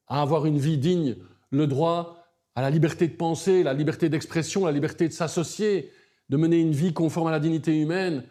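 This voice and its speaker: A middle-aged man speaks in a mellow, slightly high voice. He is articulate and earnest, building his points with emphasis as an interview guest. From Belgium. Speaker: human rights lawyer, interview guest.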